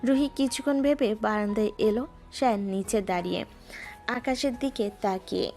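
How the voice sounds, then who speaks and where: A woman narrating a love story in a soft, even manner, her voice at a middle pitch. Gentle music plays underneath throughout. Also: moderate pace, gravelly.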